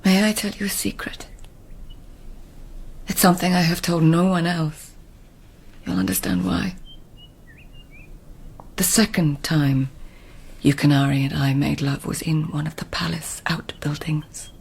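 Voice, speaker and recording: An actress playing a noblewoman court writer in labour, speaking in a hushed, confiding whisper in a radio drama. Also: deep voice, expressive, measured pace, long pauses, breathy edge, exaggerated voice.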